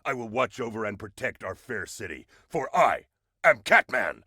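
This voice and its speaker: A man's voice: medium pitch, very animated, moderate pace, sharp tone, breathy edge, no room sound, studio quality, heavily acted. A man voicing a pompous cat-themed superhero in a comedic game, grandiose and dramatic in the manner of a comic-book parody. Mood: heroic, dramatic.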